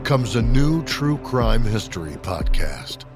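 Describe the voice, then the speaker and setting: Gravelly trailer narrator, man, over music. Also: mid-range voice, sing-song, takes it slowly. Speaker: narrator, podcast host. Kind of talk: scripted dramatic trailer narration. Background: prominent music bed.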